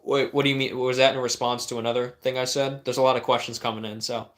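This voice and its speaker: A man's voice: medium pitch, little pitch movement, quick pace, a bit bright. A young man, a life coach, reading viewers' questions on a livestream and answering with blunt, direct advice and rhetorical questions.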